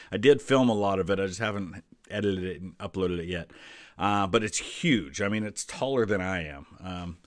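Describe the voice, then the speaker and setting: A man's voice: lowish voice, clean tone, no room sound, studio quality. A man in his forties giving casual, off-the-cuff commentary as a channel host, thinking aloud and explaining as he goes. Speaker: host of a history reaction channel.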